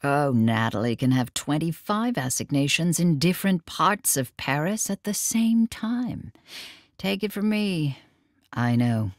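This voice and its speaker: A woman's voice: low voice, expressive, a little slow, no echo. An actress playing a cantankerous, sardonic woman painter in an audio drama, with a dry manner. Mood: grudging, wry.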